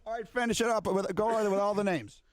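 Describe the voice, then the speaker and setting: Guy hosting sports show, high voice, quick intros. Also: steady tone, dry recording. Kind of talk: short broadcast introductions.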